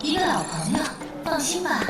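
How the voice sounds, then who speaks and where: A woman's voice: high-pitched, sing-song, fairly quick. An actress playing an ancient female spirit mentor who teases and commands her pupil, over background music. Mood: hushed. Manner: teasing, commanding. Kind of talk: animated drama dubbing.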